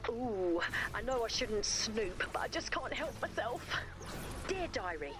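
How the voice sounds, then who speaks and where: A woman playing an eccentric, sweet and nosy video game character, theatrical and expressive. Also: a little high, a little slow, noisy background. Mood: excited, curious. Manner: theatrical, expressive, reads aloud dramatically.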